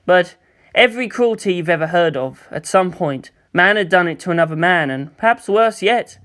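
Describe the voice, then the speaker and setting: A woman's voice: lowish voice, animated, moderate pace, a bit bright. A woman voicing a thoughtful, world-weary teenage boy in a storybook reading. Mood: grave, weary.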